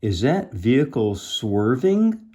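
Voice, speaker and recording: A man voicing a self-important, by-the-book storybook police officer, deliberate and emphatic in his delivery. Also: mid-range voice, very animated, warm tone, pitched above the performer's own voice. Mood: pompous.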